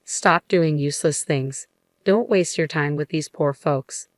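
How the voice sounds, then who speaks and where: Read by a woman, a sneering, condescending salesman barks orders and brushes people off. The voice sits low and dark, and the sound is dry and close. Every word drips with arrogance. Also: measured pace, no room sound. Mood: scornful. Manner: condescending, scolding. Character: rude arrogant male real estate salesman.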